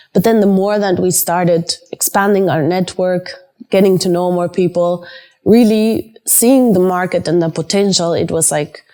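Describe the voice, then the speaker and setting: A woman's voice: mid-range voice, a little mellow. Chatty enthusiastic woman interviewee. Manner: chatty, enthusiastic, many fillers. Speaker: entrepreneur interviewee. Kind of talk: documentary interview.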